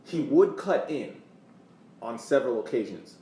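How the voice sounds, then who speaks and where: A man co-hosting a sports podcast with casual commentary, deliberately repeating words for emphasis. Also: mid-range voice, expressive, dark tone. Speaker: podcast co-host. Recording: echoey room, distant mic, faint noise.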